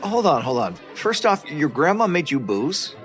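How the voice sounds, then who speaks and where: A teasing young party host. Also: male voice, a little high, sing-song, normal speed, fairly bright tone, faint music behind. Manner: teasing, playful, suggestive.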